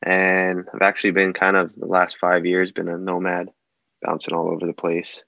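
A man in his twenties from Hawaii, a podcast guest answering casually, often pausing and restarting as he searches for words. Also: deep voice, monotone, gravelly, no echo, dull audio. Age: 20s. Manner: halting, searching for words with many fillers and restarts.

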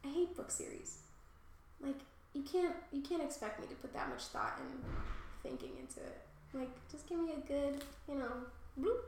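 A woman's voice: sits high, normal speed, slight background noise. A woman hosting a podcast, chatting casually and ranting with lots of swearing. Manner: profane, animated ranting. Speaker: podcast host. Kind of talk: casual chat. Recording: very echoey room.

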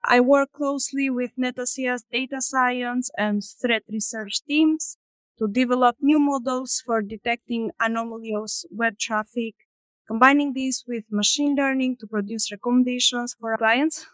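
A woman's voice: on the high side, steady tone, moderate pace, fairly bright tone, dry recording. A woman from Albania, introducing herself as a panel guest on a video call. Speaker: panel guest, data analyst.

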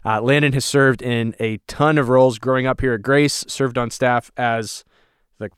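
A man's voice: medium pitch, steady tone, normal speed, smooth, no room sound, studio quality. A man, a pastor hosting a podcast, asking friendly, joking questions of his guests. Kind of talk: podcast interview.

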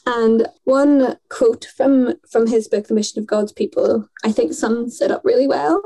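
Mellow-voiced woman, earnest and articulate. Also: a little high, fairly even intonation, measured pace, poor audio. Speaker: mission worker. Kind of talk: conversational podcast interview.

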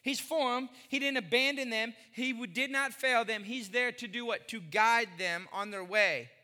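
A man's voice: extremely high pitch, normal speed, pushed and bright, long reverb tail. A man in his forties preaching a sermon, emphatic, repeating phrases and putting questions to the congregation. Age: 40s. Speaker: pastor.

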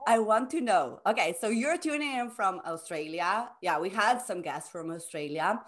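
A woman's voice: mid-range voice, animated, normal speed, a bit bright. Effusive woman podcast host. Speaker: podcast host and business coach. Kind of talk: podcast intro and interview.

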